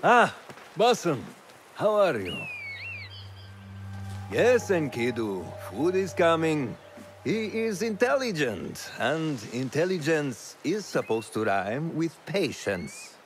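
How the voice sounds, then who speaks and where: An actor playing a kindly, patient assassin elder with a gentle manner in a video game cutscene. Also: male voice, on the high side, sing-song, soft background music, heavily acted. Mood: warm. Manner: gentle, unhurried.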